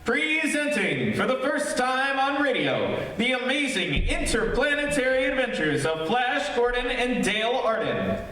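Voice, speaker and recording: A man's voice: very high voice, very animated, measured pace, sharp tone, slight background noise, voice pushed up, heavily acted. Man playing a grand 1930s radio announcer, very echoey. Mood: grand, excited. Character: grand, excitable vintage radio serial announcer. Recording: echoey theater, distant mic.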